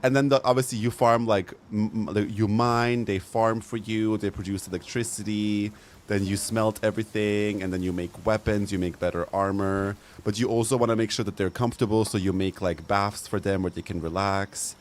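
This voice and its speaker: A young man talks over his gameplay in a campy, playful, slangy way and laughs easily. His voice sits fairly low for a man. A faint hum of room noise hangs behind him. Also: steady tone, normal speed. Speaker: streamer. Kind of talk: casual live-stream chat over gameplay.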